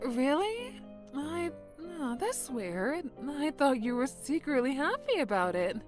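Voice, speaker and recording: A woman's voice: high voice, very animated, slow, soft background music, full character voice. A woman voicing a cheerful, teasing mother in an anime-style romcom manga dub, with a playful, breezy manner. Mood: puzzled.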